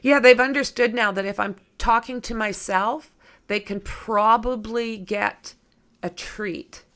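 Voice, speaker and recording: A woman with a creaky voice hosting a true crime livestream, reading aloud and chatting informally with viewers as she thinks aloud. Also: fairly high pitch, fairly even intonation, takes it slowly, fairly bright tone. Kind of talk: reading documents aloud with casual asides to viewers.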